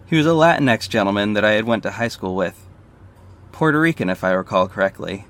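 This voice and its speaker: A man's voice: fairly low pitch, expressive, fairly quick, a bit bright. A man playing a confident, flirty detective and secret vigilante, narrating an audio drama in the first person, conversational and confiding. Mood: wry, relaxed. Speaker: narrator and lead character. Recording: faint background noise.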